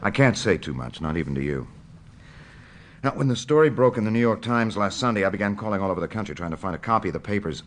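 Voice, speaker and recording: An actor playing a wry, careful, determined newspaperman in a naturalistic audio drama, telling things in a measured way. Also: on the low side, animated, natural voice. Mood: guarded, confiding.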